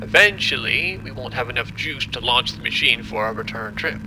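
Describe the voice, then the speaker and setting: A man playing a formal, measured scientist who explains a disaster in an audio drama. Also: mid-range voice, animated, noisy background, close to an everyday voice. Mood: grave, deliberate. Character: apologetic, condescending male scientist explaining a disaster.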